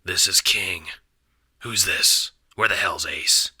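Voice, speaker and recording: Guy as a smooth irritated boss man on a scratchy walkie, low voice, audio drama. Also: slow pace, pushed and bright, exaggerated voice. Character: suave irritated gangster king on radio. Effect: scratchy radio.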